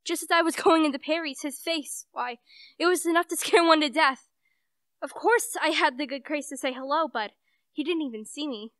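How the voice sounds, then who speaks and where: A woman's voice: high-pitched, moderate pace, fairly bright tone, no echo, clean studio sound. A teenage female student actor playing an indignant, gossipy society wife in a radio play, prim and emphatic.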